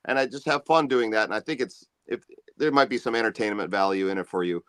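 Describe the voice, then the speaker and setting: A man with a low bass voice, a musician guest chatting in an easygoing, rambling way about his music. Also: fast talker, a bit bright, no echo, studio quality. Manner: easygoing, rambling, self-promoting. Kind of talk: casual interview chat.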